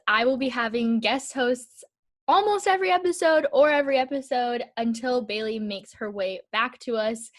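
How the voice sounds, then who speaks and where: A woman hosting a podcast in casual chat, talkative and full of "um" and "like". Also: on the high side. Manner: chatty, with "um" and "like" fillers. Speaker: podcast host.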